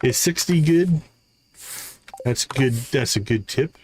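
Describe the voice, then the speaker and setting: A man's voice: mid-range voice, slow, a little mellow, a little hiss or hum. A man giving casual live gameplay commentary, thinking aloud as he counts off items and talks through his tasks. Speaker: live streamer, gaming host.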